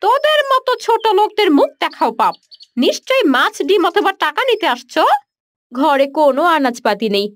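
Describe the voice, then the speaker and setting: A woman's voice: very high-pitched, very animated, normal speed, fairly bright tone, no room sound, clean studio sound, exaggerated voice. A woman voicing a haughty, scornful rich village woman in a moral-story cartoon, scolding sharply and with contempt. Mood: scornful, angry.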